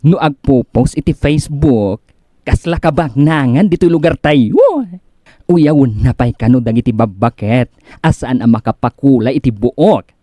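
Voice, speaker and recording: An actor's gossipy, mellow-voiced village friend. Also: medium pitch, animated, normal speed, no room sound, clean studio sound, close to an everyday voice. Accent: Filipino, Ilocano. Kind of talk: comedy radio drama acting.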